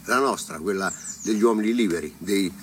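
A man's voice: on the low side, fairly quick, slight background noise. A male singer informally introducing a tribute song he is about to perform, with guitar playing. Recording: loud plucked guitar with voice.

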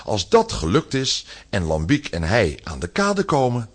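Husky, very animated male narrator. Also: fairly low pitch, unhurried. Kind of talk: dramatic scripted narration.

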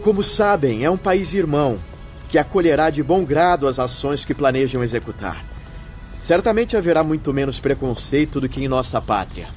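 A man plays a reassuring, courteous medium in a radio drama. His voice is fairly high and swings expressively, encouraging and theatrical, over faint music. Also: animated, moderate pace, darkish tone, close to an everyday voice. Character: kindly, reassuring male Portuguese medium, encouraging adviser. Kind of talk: radio soap acting.

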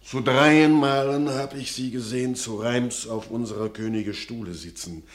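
A man's voice: mid-range voice, fairly even intonation, moderate pace, lightly acted. An actor playing an anxious old village father who speaks in solemn, warning tones in classic radio drama verse. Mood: foreboding.

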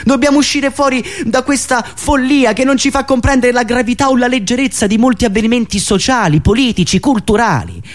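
A man delivering a theatrical political monologue in a dramatic, emphatic manner, with deliberate pauses. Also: high voice. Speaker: satirical stage performer and radio host.

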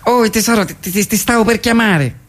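An actress in a radio theatre comedy playing a bashful, giddy woman in love, halting and gushing as she talks. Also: on the high side, natural voice. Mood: giddy, eager. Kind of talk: radio theatre comic dialogue.

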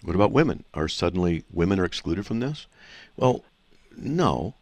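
A man's voice: deep voice, sing-song, moderate pace, a little mellow, dry recording. A man hosting a call-in radio show, explaining scripture to callers in an assertive, teaching manner. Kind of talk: call-in radio Bible answers.

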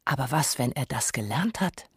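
A man's voice: medium pitch, animated, normal speed, fairly bright tone, breathy edge. A man narrating a scripted radio documentary in a wry, musing manner.

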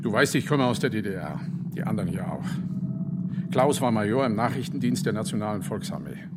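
An actor playing a streetwise, protective middle-aged friend in a German radio crime drama, brusque and matter-of-fact. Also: male voice, lowish voice, fairly quick, close to an everyday voice. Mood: reassuring, firm. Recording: loud vehicle and background noise.

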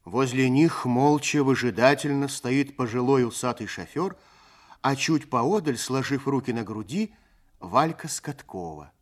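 A man narrates a 1970s Soviet radio play with a mid-pitched voice. His delivery is scripted and literary, measured yet expressive, like a storyteller setting out each scene.

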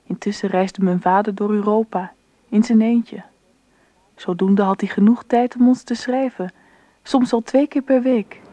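A woman's voice: mid-range voice, fairly even intonation, a little slow, mellow, close to an everyday voice. An actress playing a grown daughter looking back on her childhood, narrating reflectively in a radio drama. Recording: muffled radio drama recording.

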